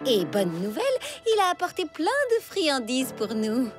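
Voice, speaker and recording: A woman's voice: high-pitched, very animated, moderate pace. A woman voicing a sly, sardonic female animal in a cartoon, dry and wheedling. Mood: sly, coaxing. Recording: loud cartoon music behind.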